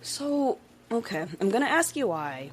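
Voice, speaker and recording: A woman's voice: on the high side, big pitch swings, unhurried. A young adult woman co-hosting a casual chat, playful and sarcastic, laughing often. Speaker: podcast co-host.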